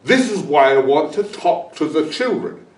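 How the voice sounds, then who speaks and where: Man as a kindly high-voiced teacher eagle. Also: big pitch swings, normal speed, echoey room, far from the mic. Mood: earnest. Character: great bald eagle, kindly, earnest teacher of children.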